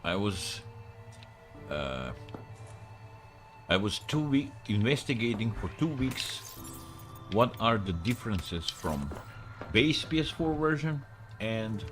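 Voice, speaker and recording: A man with a Croatian accent and a deep, low voice talks slowly and loosely to his viewers, stopping often to search for words in English. Faint music plays quietly in the background. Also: big pitch swings. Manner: rambling, many filler pauses, broken English grammar. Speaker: livestreamer. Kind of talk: casual live-stream chat with viewers.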